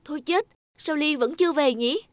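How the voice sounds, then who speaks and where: A woman's voice: high-pitched, normal speed, noisy background, natural voice. A woman playing a helpful young office worker in a cartoon, speaking politely and attentively with care. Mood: concerned. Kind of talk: cartoon dialogue acting.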